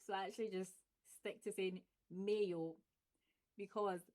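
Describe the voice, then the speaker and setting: A woman's voice: mid-range voice, steady tone, measured pace, darkish tone, low-quality recording. A woman with a Glaswegian accent chatting casually on her channel, laughing often and playing with example phrases. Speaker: YouTuber. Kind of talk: casual vlog chat.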